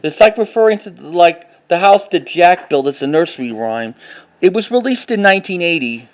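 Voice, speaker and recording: A man with a high-pitched voice talks casually as he shows off a collection. He reads titles out and speaks in a halting way, often restarting or repeating a phrase. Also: animated, rough recording. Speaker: collector showing finds to camera. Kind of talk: casual unscripted show-and-tell narration.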